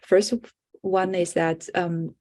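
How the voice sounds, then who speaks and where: A young woman who speaks Chinese as her first language gives a conference presentation in English. She talks in a slightly low, warm, soft tone. Her delivery is halting, full of fillers and restarted words. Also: little pitch movement. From China. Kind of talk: online workshop presentation.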